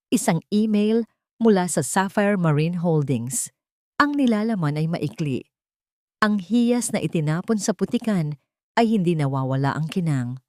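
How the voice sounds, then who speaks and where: A woman's voice: low voice, darkish tone, dry recording, clean studio sound. A woman reading scripted fiction as a dramatic audio story narrator.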